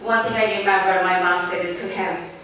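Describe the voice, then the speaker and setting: A woman in her forties with a Bangladeshi accent speaks at a formal event, and her heartfelt, halting phrasing keeps circling back. Her voice sits fairly low. She is heard from well off the mic in a strongly echoing hall, with a faint room hum beneath her. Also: steady tone, sharp tone, distant mic. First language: Bengali. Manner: halting, heartfelt, loose grammar. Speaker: newspaper editor giving a speech. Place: large banquet hall.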